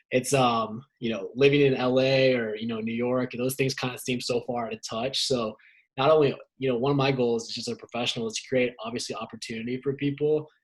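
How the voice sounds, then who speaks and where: A man from Ohio co-hosting a podcast, talking in a relaxed, rambling way. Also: mid-range voice, slightly rough, low-quality recording. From Canton, Ohio. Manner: relaxed, rambling conversational delivery. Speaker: podcast co-host. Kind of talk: casual interview chat.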